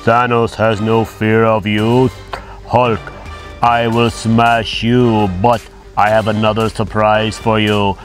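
A man voicing a pompous scheming villain warlord who booms ho-ho laughs and speaks of himself in the third person. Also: flat delivery, takes it slowly, faint music behind. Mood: gloating. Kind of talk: toy play voice acting.

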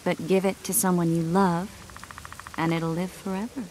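Actress as a low-voiced, wistful young sorceress. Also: female voice, normal speed, lightly acted. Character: tender, thoughtful young sorceress. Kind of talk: video game dramatic dialogue. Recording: steady rain and ambient noise behind. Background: rain.

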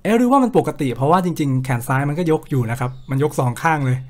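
A man's voice: a little high, very animated, fast. A young man from Thailand hosting a game video, joking casually and reading prompts aloud. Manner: joking, talks to the game and viewers. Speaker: gaming video host.

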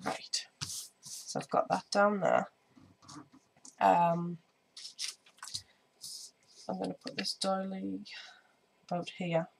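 Slightly croaky woman, flat delivery. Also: mid-range voice, takes it slowly, pauses often, slight background noise, poor audio. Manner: chatty, many ums, hands busy while talking. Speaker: scrapbooking vlogger. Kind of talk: casual crafting tutorial. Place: indoor home craft table. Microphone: overhead camera on desk, speaker off screen.

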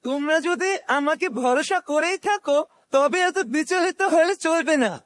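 An earnest young cartoon hero, with an unusually high voice. He speaks with determination in a close, dry fairytale recording. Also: moderate pace, a bit bright, dry recording. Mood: resolute.

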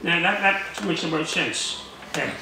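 High, sharp-voiced older man, very echoey. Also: fairly even intonation, a little hiss or hum. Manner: jovial, chuckling, halting. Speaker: guest reader. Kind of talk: humorous letter reading with asides to an audience. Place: large marquee tent with seated audience. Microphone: handheld mic through speakers, filmed from audience. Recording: very echoey PA in tent.

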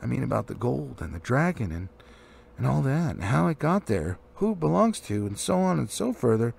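A man voicing an eager, flustered little male hobbit in a squeaky, excitable manner in a storybook reading. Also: fairly quick, gravelly, slightly rough, full character voice. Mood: flustered, prudent. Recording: faint background noise.

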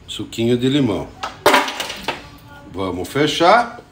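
A man's voice: medium pitch, big pitch swings, slow, distant mic, loud music behind the voice. An older man hosting a casual live cooking show in his home kitchen, chatting unhurriedly and greeting viewers by name. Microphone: phone camera at a distance. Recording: roomy kitchen echo from afar.

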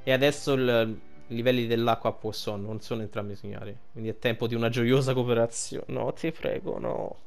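A man streams a video game in a mid-pitched voice. He talks informally, stopping and rephrasing as he translates the dialogue loosely, and is not shy of swearing. Game music plays behind him. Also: gravelly. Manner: halting, self-correcting, informal and sweary. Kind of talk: casual playthrough commentary with improvised translation of game dialogue.

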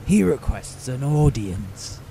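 An actor playing a curt, commanding officer escorting a prisoner in an audio drama. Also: male voice, mid-range voice, sing-song, takes it slowly, gravelly, background noise, full character voice. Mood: stern. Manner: curt, ordering.

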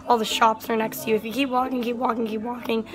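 Raspy-voiced young woman vlogging. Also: on the high side, level tone, quick pace. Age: young adult. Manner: rambling, filler-heavy with lots of "like". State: raspy, strained voice. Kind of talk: casual travel vlog chat. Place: hotel room and outdoor terrace on an island. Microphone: phone camera at arm's length. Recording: ukulele music underneath.

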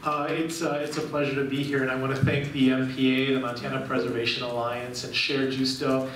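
A middle-aged man from Cuba giving a public lecture, talking warmly with frequent restarts, recorded from far away in a large room. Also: medium pitch, flat delivery, a little fast, noisy background. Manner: warm, rambling with frequent restarts. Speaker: guest lecturer. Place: large room with projection screen, indoors. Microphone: far camera. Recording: very echoey room, recorded from afar.

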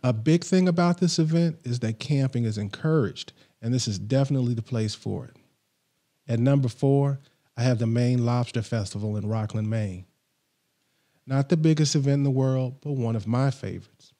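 A middle-aged man hosting a podcast from a small home studio, reading a countdown list in a steady, scripted way into a studio microphone. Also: medium pitch, normal speed. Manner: steady, read-from-script delivery. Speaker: podcast host. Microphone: studio mic on boom arm, headphones on.

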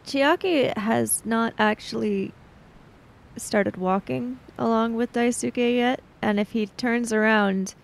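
Slow woman with heavy creak. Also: medium pitch. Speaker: tabletop role-player. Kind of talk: improvised tabletop game narration.

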